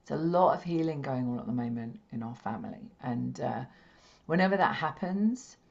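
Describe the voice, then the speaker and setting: Croaky low-voiced woman, slow. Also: expressive. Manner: gentle, repetitive, soothing delivery. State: slightly croaky, hoarse throat. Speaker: spiritual healer leading a live session. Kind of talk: slow meditative teaching talk. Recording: dull lo-fi stream audio.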